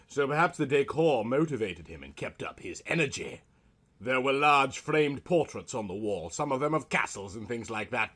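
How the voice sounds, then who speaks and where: A man's voice: medium pitch, fairly bright tone, breathy edge. A man narrating a scripted comic story in a melodramatic, mock-serious manner.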